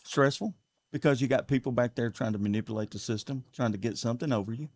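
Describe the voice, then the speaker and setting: A man's voice: medium pitch, fast, darkish tone, no room sound. A man with a Southern US accent, running for sheriff, speaking earnestly in a casual interview and repeating words as he builds his points. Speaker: sheriff candidate. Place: local TV studio.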